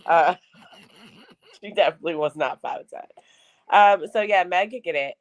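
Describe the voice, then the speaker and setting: Low-voiced frank woman chatting. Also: a little slow, dull audio, slight background noise. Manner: frank, profane, laughs often. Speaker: podcast co-host. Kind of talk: casual podcast chat.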